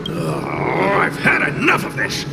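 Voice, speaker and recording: A man playing a cocky, hot-tempered, gluttonous fire spirit in a video game, gruff and blustering. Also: high voice, huge pitch swings, slow, full character voice. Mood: exasperated. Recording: music behind the voice.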